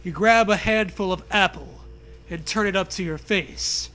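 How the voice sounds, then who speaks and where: A man's voice: high voice, normal speed, sharp tone. A man co-hosting a comic dramatic reading of a horror story, with crude, jokey asides. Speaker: co-host, reader.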